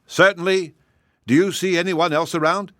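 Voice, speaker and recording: A man voicing a shrewd, proud little male hedgehog who speaks calmly and slyly. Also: sits high, normal speed, dry recording, clean studio sound, pitched above the performer's own voice. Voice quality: calm, sly, plain-spoken.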